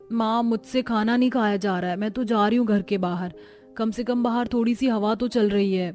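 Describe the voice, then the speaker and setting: Lady doing a young grateful cartoon bride, highish voice, music behind. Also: normal speed, a little mellow, lightly acted. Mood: complaining. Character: cheerful young new bride, grateful, marvelling at her home. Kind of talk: Hindi cartoon story voicing.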